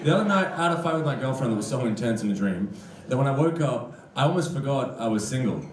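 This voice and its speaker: A man's voice: medium pitch, sing-song, fast, roomy sound, heavy background noise. A young Australian man doing a live stand-up comedy set, joking with the audience in a dry, conversational manner. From Sydney, Australia. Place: on stage in a comedy club. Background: crowd laughter.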